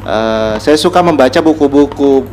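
A man's voice: medium pitch, moderate pace, darkish tone. Gorontalo Indonesian man talking over background noise. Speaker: podcast guest, writer. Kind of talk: casual conversational interview. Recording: noticeable room noise and ambience.

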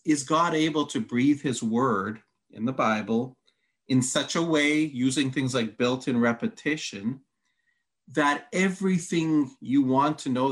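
A man's voice: mid-range voice, a bit bright. A man whose first language is Hungarian, a Bible teacher reading long quotes aloud and laying out his answers point by point. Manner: methodical, reads long quotes, counts points. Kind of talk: teaching conversation.

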